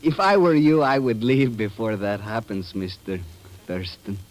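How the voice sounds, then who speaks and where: An actor playing a formal, measured secretary with veiled menace in a 1940s radio drama. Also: male voice, fairly low pitch, lightly acted, poor audio. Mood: veiled threat. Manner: formal, measured, politely sinister. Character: smooth, veiled-menacing Guatemalan male secretary. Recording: old radio recording.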